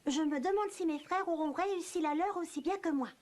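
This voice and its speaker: An actress playing a proud, childlike little pig in a cartoon, boastful and expressive. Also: female voice, very high voice, fairly quick. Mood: proud, pleased. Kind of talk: cartoon dub acting. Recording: loud music behind the voice.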